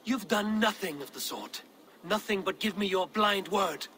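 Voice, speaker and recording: Casting: loyal, blunt Norse fighter in a game, sharp tone, challenging, slight ambient noise. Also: male voice, sits high, moderate pace. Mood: frustrated. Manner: direct, challenging. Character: blunt, skeptical Norse warrior, loyal brother.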